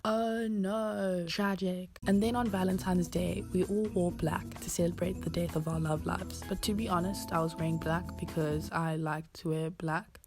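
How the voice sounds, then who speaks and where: 18 yr old girl doing a vlog voiceover, kinda low voice, dry jokey delivery, music playing behind. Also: fairly even intonation, normal speed, hardly any pauses. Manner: dry, self-mocking humor. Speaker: university student vlogger. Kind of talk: scripted voiceover narration.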